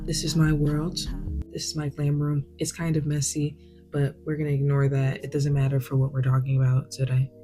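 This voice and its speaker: A woman's voice: sits low, monotone, fairly quick, dark tone, faint music behind. A woman with a New York accent chatting candidly and at length while doing her makeup in her glam room at home. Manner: verbose, bougie, candid. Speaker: vlogger.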